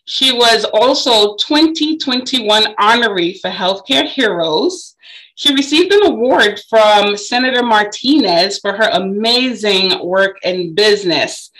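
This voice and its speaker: A woman hosting a podcast interview, effusive and admiring in her introductions, quick to laugh. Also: on the high side, a bit bright, poor audio. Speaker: podcast host.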